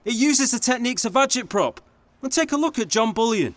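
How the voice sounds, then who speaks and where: A man's voice: extremely high pitch, expressive, a little fast, a bit bright, dry recording. An actor playing an impassioned, accusing male theatre maker and folk musician in a radio play, indignant and idealistic. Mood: indignant, passionate.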